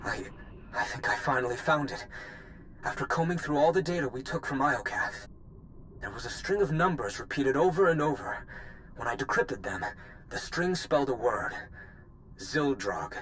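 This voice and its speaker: A driven male conspirator voiced by an actor speaks with deliberate, zealous intensity, hungry for revenge. The delivery is video game cutscene drama in a middle pitch, with faint background noise. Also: expressive, a bit bright, close to an everyday voice, rough recording. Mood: awed, excited.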